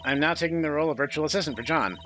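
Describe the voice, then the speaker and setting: Guy doing playful ai virus girl assistant, teasing but helpful, bright, visual novel game. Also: fairly high pitch, very fast, slight background noise. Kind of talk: visual novel read-aloud.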